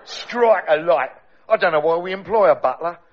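A man's voice: high voice, animated, moderate pace, muffled recording. A man playing a blustering middle-aged Cockney pearly king in a radio comedy sketch, grumbling and exasperated, full of rhyming slang.

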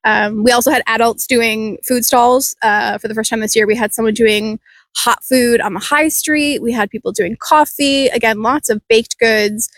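A woman's voice: fairly high pitch, fairly even intonation, moderate pace, a bit bright, dry recording. A woman with a Canadian accent, talking enthusiastically and conversationally as an event organiser being interviewed. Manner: enthusiastic, talkative.

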